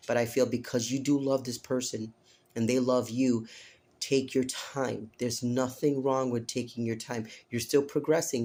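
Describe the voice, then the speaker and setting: Hoarse man giving a flat-toned tarot reading. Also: mid-range voice. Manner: earnest, repetitive, addresses listener directly with "okay?". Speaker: tarot reader. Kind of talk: casual spoken tarot reading.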